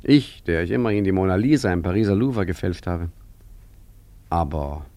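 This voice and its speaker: A man's voice: low voice, moderate pace, mellow, no echo. An actor playing a talkative, down-on-his-luck forger and street painter who narrates his story in a wry, chatty, mock-humble way in a radio play. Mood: wry, reflective. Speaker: narrator and lead character. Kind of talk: conversational German radio play acting.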